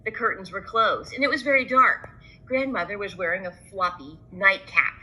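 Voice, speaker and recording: A woman's voice: mid-range voice, moderate pace, sharp tone, poor audio. A woman reading a children's story aloud as a storyteller.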